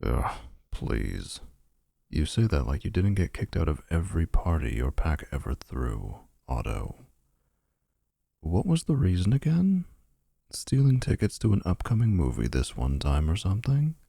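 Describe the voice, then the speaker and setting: A man's voice: very deep voice, huge pitch swings, measured pace, vocal fry, dark tone, breathy edge, no echo, full character voice. A man voicing a snarky, possessive male vampire suitor in a boyfriend ASMR roleplay, mocking with dry sarcasm.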